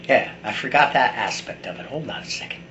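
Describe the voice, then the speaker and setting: A man's voice: medium pitch, brisk, sharp tone, lo-fi sound. A middle-aged man giving a rambling, blunt and opinionated personal monologue, like a video blogger talking to his camera. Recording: echoey room with faint background noise.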